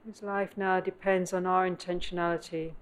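A woman teacher guides a meditation in a lowish, very even voice. She speaks in measured, contemplative phrases and leaves long pauses between them. The live recording carries audible room ambience and noise behind her. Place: meditation center, live session.

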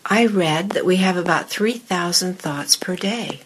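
A woman meditation teacher speaking to a group in measured, deliberate short phrases with pauses, her voice husky and breathy. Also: on the low side, a little hiss or hum, poor audio. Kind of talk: guided meditation and dharma talk to a group in the room.

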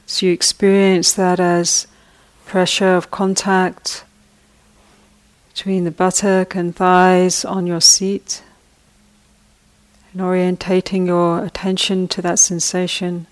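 A woman leads a guided meditation in a low, steady voice that barely rises or falls. She speaks very slowly and softly, leaving long pauses between her gentle instructions. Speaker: meditation teacher.

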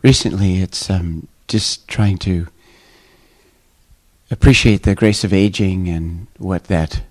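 Older man, husky and reflective. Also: sits low, slow pace, no room sound. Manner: reflective, gentle. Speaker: meditation group member. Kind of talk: personal sharing in a group.

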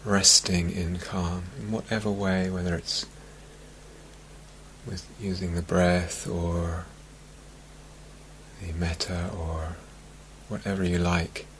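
A man with a low voice leads a guided meditation. His delivery is very slow, calm and gentle, broken into short phrases. A steady background noise with a slight hum sits under the recording. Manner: calm, gentle, unhurried with long phrasing gaps. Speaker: meditation teacher. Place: meditation retreat talk, recorded live.

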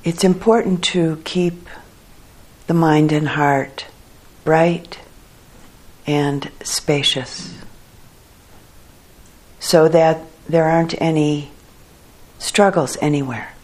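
Lady meditation teacher, super slow and calm, breathy husky voice, lots of pauses. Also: sits low. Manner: calm, measured, with long pauses. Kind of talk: guided meditation instruction to a group.

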